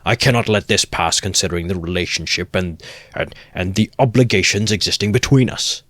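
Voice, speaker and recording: A man's voice: low voice, brisk, a bit bright, no echo. A man voicing a pompous, easily offended middle-aged suitor who speaks in a stiff, sententious way. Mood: huffy, pompous. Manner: sententious, aggrieved and stiffly formal. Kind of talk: audiobook character voice.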